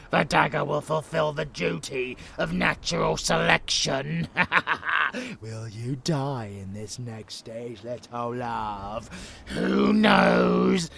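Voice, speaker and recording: A man playing a sadistic, gleeful vampire abomination in an audio roleplay, with a growling, gravelly voice and a theatrical, taunting manner. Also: medium pitch, pushed and bright, a little hiss or hum, exaggerated voice. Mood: mocking, taunting. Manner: theatrical, menacing, taunting, drawn-out phrasing. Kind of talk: monster ASMR roleplay acting.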